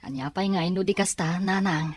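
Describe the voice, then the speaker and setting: A woman's voice: lowish voice, a little fast, breathy edge, no room sound. A woman playing a respectful young student talking with a mother in an Ilocano radio drama. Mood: respectful, hesitant.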